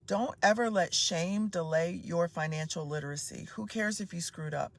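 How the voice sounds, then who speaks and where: A speaker in their fifties recites life advice as a calm run of short, quotable lines. The voice has a gravelly, creaky edge. The delivery stays even and measured throughout. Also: mid-range voice. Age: 50s. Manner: steady, aphoristic, list of short maxims.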